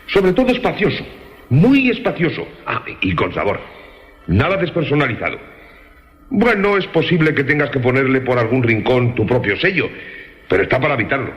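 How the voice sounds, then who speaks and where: Persuasive male pal for radio drama, played by a man: eager, very animated pitch, reverberant sound. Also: normal speed, highs cut off, lightly acted. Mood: eager persuasion. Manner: enthusiastic, persuasive. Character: eager male friend talking up an apartment. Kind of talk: Spanish-language suspense radio drama acting. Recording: long reverberant radio production.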